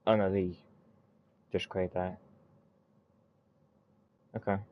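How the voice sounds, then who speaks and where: A man giving casual gameplay commentary, haltingly reading text aloud and muttering to himself. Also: low voice, little pitch movement, phone-quality sound. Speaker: gaming streamer. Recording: faint background noise.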